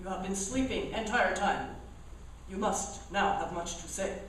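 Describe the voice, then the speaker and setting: A woman's voice: mid-range voice, unhurried, close to an everyday voice. A woman playing a proud, solemn frontier healer who speaks in stilted formal phrases in a comic Western radio play. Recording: echoey hall, distant mic, faint noise.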